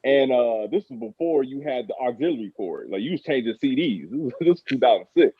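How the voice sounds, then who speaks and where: American man on a phone-like line, husky. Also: mid-range voice, sing-song, brisk, no echo. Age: 30s. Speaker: guest. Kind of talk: casual podcast storytelling. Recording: phone-like thin radio sound.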